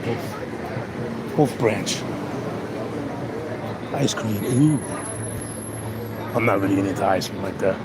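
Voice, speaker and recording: A man with a hoarse voice walking through town and chatting casually about the street and the places around him as he films. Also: fairly low pitch, slow, long pauses, heavy background noise. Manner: rambling, laid-back, chatty tour commentary. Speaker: vlogger. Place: outdoors on a busy town street. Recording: outdoor street recording with music. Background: music from restaurants.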